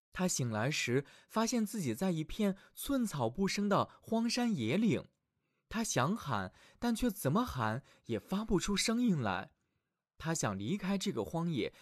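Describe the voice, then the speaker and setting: A man narrating a scripted fairy tale for small children as their storyteller, in an expressive, affectionate manner. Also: high-pitched, dry recording, studio quality.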